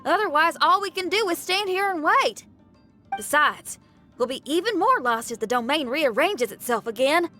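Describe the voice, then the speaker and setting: Bright, high-pitched, spirited young heroine. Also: female voice, sing-song, moderate pace, exaggerated voice. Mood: reasoning, resolute. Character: spirited, frank young female comrade, pragmatic. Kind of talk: video game voice acting. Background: music.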